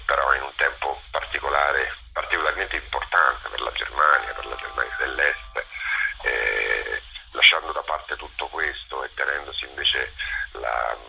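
A man with a gravelly, husky voice giving reflective interview answers, often pausing to search for his words. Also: extremely low pitch, pushed and bright, slight background noise, low-quality recording. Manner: halting, searching for words, with many pauses. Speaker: interviewed theatre director and performer.

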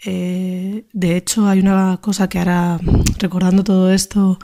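A woman in her thirties from Spain's north, with the accent to match. Her voice sits a little low and sounds dark and relaxed. She reflects informally on her own life, feeling her way hesitantly through each thought. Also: normal speed. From Basque Country, Spain. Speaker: contributor to a feminist radio show. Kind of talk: informal spoken personal reflection.

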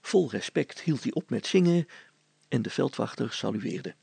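A man's voice: medium pitch, animated, normal speed, gravelly, a little mellow, husky, no echo. A man narrating a scripted story as a storyteller.

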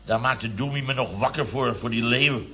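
An actor playing a grumbling middle-aged building contractor boss who spins chatty anecdotes in a vintage radio comedy. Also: male voice, medium pitch, normal speed, bright, forceful tone, natural voice. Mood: dismissive grumbling. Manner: chatty, grumbling anecdote telling with tag words. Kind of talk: 1960s-70s Dutch radio comedy acting. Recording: dull vintage radio recording.

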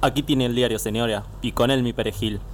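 A man's voice: medium pitch, steady tone, brisk, slight background noise, natural voice. A man playing an eager, deferential bit-part actor in a comic radio drama.

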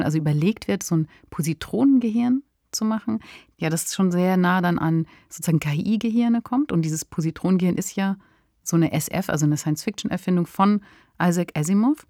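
Female podcast co-host, notably low register, eager analytical conversational delivery, dry studio. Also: warm tone, no echo.

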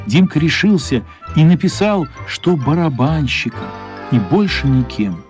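Guy narrating a radio drama, slightly high voice, very expressive n animated storyteller. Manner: expressive, acts out characters' thoughts.

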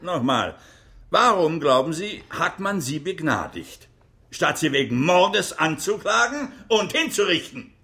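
Radio play male prosecutor: insistent, sceptical, gravelly edge, very animated intonation. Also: a little high, a little slow, exaggerated voice. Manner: pointed, insistent interrogation. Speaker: actor in radio drama. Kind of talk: courtroom radio drama acting.

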